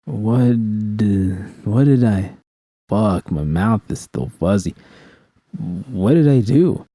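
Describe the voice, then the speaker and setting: A man voicing a groggy, foul-mouthed young woman who talks haltingly in an audiobook story. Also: lowish voice, lively intonation, warm tone, no echo, exaggerated voice. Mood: groggy, anxious. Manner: halting, swearing.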